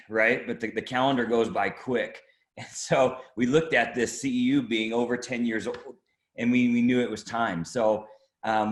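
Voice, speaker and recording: Male interviewee, lowish pitch, marketing director, halting conversational delivery, strong room echo. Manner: halting, hedging, conversational explanation. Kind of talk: product preview interview.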